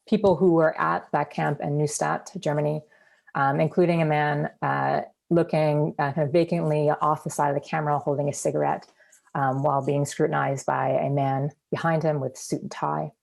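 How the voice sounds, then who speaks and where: A woman presenting a seminar paper, reading in a measured way with frequent ums and uhs. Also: deep voice, vocal fry, darkish tone. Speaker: academic presenter.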